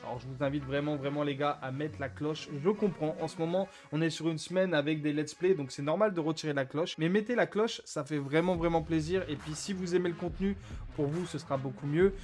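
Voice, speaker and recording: Guy youtuber, kinda high voice, chatting casually to his subs, bit of music in the back. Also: a little mellow. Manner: informal, conversational, addresses viewers as mates. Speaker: small YouTuber, vlogger. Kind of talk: casual vlog talk to subscribers, commenting on channel stats.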